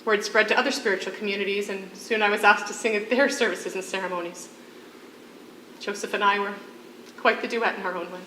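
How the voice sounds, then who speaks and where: A woman acting in a stage play as a defiant condemned prisoner and devoted mother, speaking in earnest, deliberate phrases. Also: mid-range voice, fairly even intonation, pushed and bright, close to an everyday voice. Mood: tender. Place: theatre stage, live performance. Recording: echoey stage recording with faint noise.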